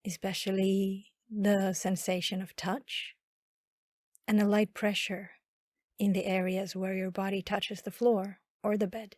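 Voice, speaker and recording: A woman guiding a body scan meditation in a calm, instructional manner with long pauses. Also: mid-range voice, dry recording, clean studio sound. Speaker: meditation guide.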